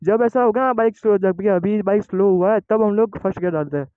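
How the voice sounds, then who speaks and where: Young male motovlogger from Gujarat, chatty and excitable, high voice, muffled helmet-mic audio with wind. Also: fast talker, dry recording. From Gujarat, India. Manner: chatty and excitable, calls viewers guys. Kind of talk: casual motovlog narration while riding. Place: outdoors on a moving motorbike.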